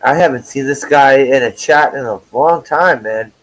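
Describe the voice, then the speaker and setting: A man in his forties hosts a relaxed livestream from his house. His voice sits at an ordinary middle pitch. He talks in a loose, chatty way, cusses casually and calls out to people in the chat. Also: normal speed, low-quality recording. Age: 40s. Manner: loose and profane, greets chat viewers. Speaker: livestream host. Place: at home.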